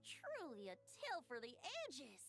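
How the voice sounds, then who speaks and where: A playful young male bard, played by a woman laughs easily and tells stories with delight. His voice is high and lively, and it rises and falls constantly. Faint game music plays behind him. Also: a little slow, gravelly, exaggerated voice.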